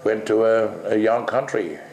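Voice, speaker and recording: Gravelly-voiced older man in an echoey room. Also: fairly low pitch, a little mellow. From Netherlands. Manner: blunt, salty, rambling with many pauses. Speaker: interviewee. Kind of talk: casual interview. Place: kitchen at home, indoors.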